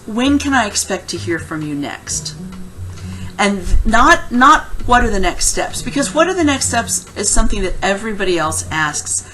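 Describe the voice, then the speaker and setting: A woman's voice: medium pitch, a bit bright. A woman giving instructional career advice in a conversational, emphatic way, like a coach speaking to viewers. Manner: conversational, emphatic, acts out example lines. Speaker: career coach presenting interview tips. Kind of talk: instructional advice talk to camera.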